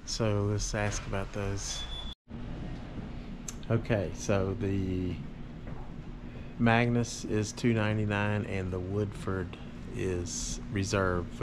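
A man in his fifties, filming a casual vlog in a liquor store, talking keenly about bottles and prices, with the camera held at arm's length. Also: low-pitched. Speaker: vlogger. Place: large liquor store, indoors. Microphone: action camera at arm's length. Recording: noisy store ambience.

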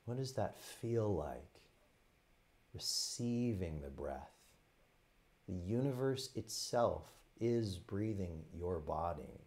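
A man with a fairly low voice guides a meditation. He speaks gently and without hurry, setting out short phrases with pauses between them, as a teacher leading students through a practice. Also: expressive.

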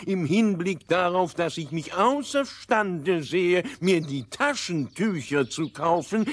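An actor playing a pompous, long-winded royal windmill nose-blower who speaks stilted officialese in a fairy-tale audio play. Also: male voice, a little high, no echo.

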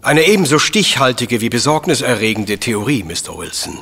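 Radio-drama professor sleuth: shrewd, formal, slightly rough-voiced, animated pitch, exact and coolly polite. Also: male voice, brisk, exaggerated voice. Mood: courteous, analytical. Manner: precise, formal, pedantic. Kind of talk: German radio drama acting.

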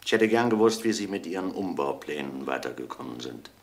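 An actor playing a calm, courteous police inspector who asks measured, probing questions in a crime drama. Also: male voice, rumbling low. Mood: probing, firm.

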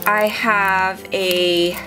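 A woman's voice: mid-range voice, level tone, extremely slow, bright, forceful tone, faint music behind, low-quality recording. A young woman with a stuffy, nasal voice from a cold, chatting casually as she unboxes and reviews small items. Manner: chatty, thinking aloud with fillers. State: sick and nasal. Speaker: unboxing vlogger. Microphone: off-camera voice over hands-only tabletop shots.

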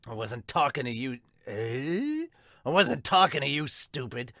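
A man's voice: mid-range voice, very animated, unhurried, sharp tone, no echo, studio quality, exaggerated voice. A man voicing a brash, hot-tempered manga villain who snaps insults irritably. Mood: irate. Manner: snapping, insulting.

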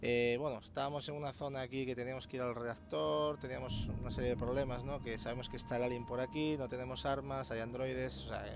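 Male let's-play host, Spain accent, creaky voice, sweary and offhand, heavy game ambience behind. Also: very noisy. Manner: colloquial, sweary, muttering asides. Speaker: gamer hosting a video game series. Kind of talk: casual gameplay commentary. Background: video game sound effects and rumbling ambience.

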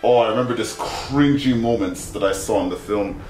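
A young man talking casually to camera in an animated, rambling, exclamatory way, as a vlogger giving a review. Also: lowish voice, moderate pace. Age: young adult. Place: small indoor bedroom with bunk beds. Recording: echoey room, music behind. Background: music.

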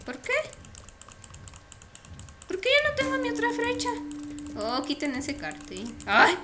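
A woman's voice: very high-pitched, huge pitch swings, takes it slowly, background noise. A young woman chatting casually and exclaiming while playing a video game. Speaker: gamer. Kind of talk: casual chat while gaming.

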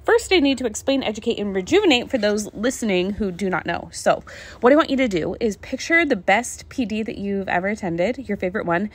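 A woman's voice: mid-range voice, animated, a little fast. Chatty, enthusiastic woman podcast host. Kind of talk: casual solo podcast talk.

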